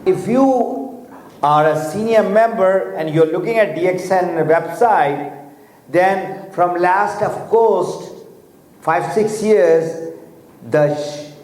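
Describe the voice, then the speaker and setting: A man's voice: high voice, a little mellow. A man whose first language is Urdu or Hindi, giving a motivational seminar talk in English with many fillers and restarts, asking his audience questions directly. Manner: slow, deliberate, with frequent fillers and restarts. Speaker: motivational speaker. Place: large hotel seminar hall. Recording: echoey hall with faint ambience.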